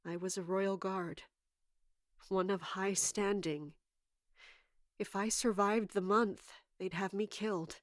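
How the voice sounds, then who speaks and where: A woman playing a wounded, caring but guarded royal guard captain in an audio drama, speaking earnestly and haltingly. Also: mid-range voice, fairly even intonation, dry recording, studio quality, close to an everyday voice. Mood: pained, reluctant. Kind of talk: dramatic audio fiction acting.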